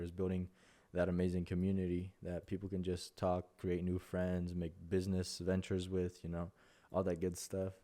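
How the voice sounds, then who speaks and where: A young Mexican man with a Spanish accent talks through creator advice in a low, flat, mellow voice. He rambles, restarts and leans on fillers as he goes. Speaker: content creator. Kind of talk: casual talking-head advice.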